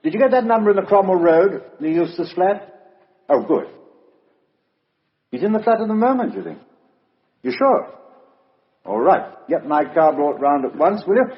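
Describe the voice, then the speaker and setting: An actor playing a genial, probing British chief inspector in a 1950s radio drama, colloquial and businesslike. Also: male voice, high-pitched, expressive, moderate pace, long pauses. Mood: brisk, businesslike. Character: brisk, genial but probing male police chief inspector. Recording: muffled, reverberant vintage radio recording.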